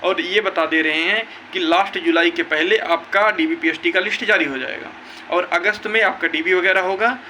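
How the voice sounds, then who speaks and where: Bright, high-voiced young man, insistent. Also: normal speed, a little hiss or hum. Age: young adult. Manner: emphatic, insistent, repetitive. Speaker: exam-news video creator. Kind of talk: informal update to viewers, recounting a meeting.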